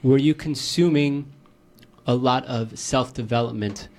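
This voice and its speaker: A man's voice: medium pitch, measured pace, darkish tone. Chatty, friendly male radio host. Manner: friendly, joking, rephrases his questions. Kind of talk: casual interview.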